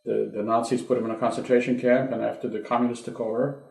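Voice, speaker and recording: A man in his sixties, born in Hungary, recalling his childhood in a reflective, halting way during an oral history interview at his home. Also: mid-range voice, flat delivery, gravelly, a little mellow, reverberant. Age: 60s.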